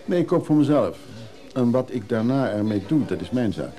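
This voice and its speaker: A man plays a resentful antique dealer in a radio play. His voice is mid-pitched and soft-toned, but his delivery is curt, gruff and defensive. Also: sing-song, moderate pace, faint music behind, natural voice. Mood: guarded, irritated. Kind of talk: radio drama acting, dialogue.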